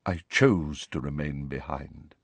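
A man voicing a mournful, refined ghost of a gentleman, speaking softly, slowly and formally with hesitant sighs. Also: lowish voice, expressive, dry recording. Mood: melancholy. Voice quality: soft, quiet. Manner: slow, hesitant, sighing, formal.

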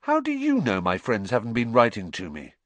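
A man's voice: mid-range voice, huge pitch swings, brisk, a bit bright, dry recording. A man voicing an earnest young boy, urgent and a little desperate.

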